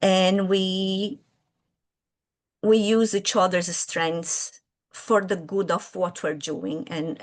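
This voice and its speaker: Woman, Brazilian accent, native Portuguese speaker, slow unhurried pace, lowish voice, hesitant with fillers. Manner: hesitant, searching for words with fillers. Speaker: guest, children's author. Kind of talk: interview answers.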